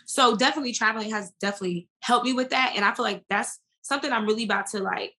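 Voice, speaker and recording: A woman's voice: fairly high pitch, very fast, pushed and bright. An American woman talking casually as an interview guest, with an emphatic, slangy way of speaking. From United States.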